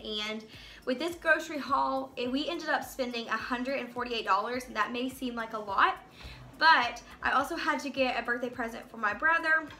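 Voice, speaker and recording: Bright-voiced chatty mom. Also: female voice, a little high, normal speed. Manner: chatty, rambling, talks straight to camera. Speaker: family vlogger. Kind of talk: casual grocery haul vlog. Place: home kitchen, indoors.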